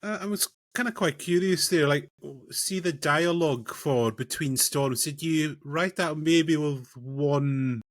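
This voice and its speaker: Relaxed man, rambling questions. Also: a little high, a little slow, few pauses, no echo, studio quality. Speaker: podcast co-host. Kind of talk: casual interview chat.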